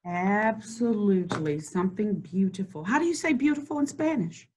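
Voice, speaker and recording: A woman hosting a children's read-aloud, encouraging and slow, stretching words as she reads. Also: medium pitch, animated, slight background noise. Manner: warm, encouraging, slows and stretches words when reading. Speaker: school district host reading to children. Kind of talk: read-aloud lesson and chat.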